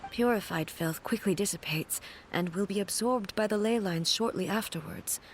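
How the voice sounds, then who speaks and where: A woman voicing a calm, regal ruler. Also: mid-range voice, a little hiss or hum, natural voice. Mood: explaining. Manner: calm, measured, commanding. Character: calm, regal female ruler, serene and measured. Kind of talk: video game dialogue.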